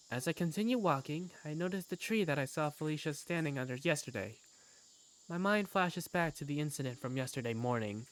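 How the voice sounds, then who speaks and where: A woman narrating an amateur visual novel in the first person as its lead character, with a wry, conversational manner. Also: low voice.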